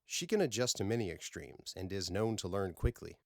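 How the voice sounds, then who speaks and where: A man's voice: sits low, animated, a little fast, creaky, dry recording, studio quality. A man voicing a confident, earnest space crew leader with formal phrasing in an audiobook. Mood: assured.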